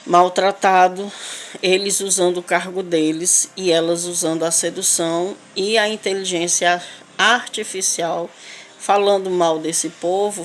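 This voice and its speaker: Woman, deep register, Northeastern Brazilian accent. Unscripted complaint, emphatic and repetitive with halting delivery, ambient noise behind. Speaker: local resident making a video appeal. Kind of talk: unscripted spoken complaint to camera. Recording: clear background noise, ambient sound.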